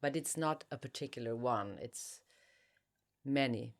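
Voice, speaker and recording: Woman, extremely low voice, reflective author interview, halting, word-searching repetitions.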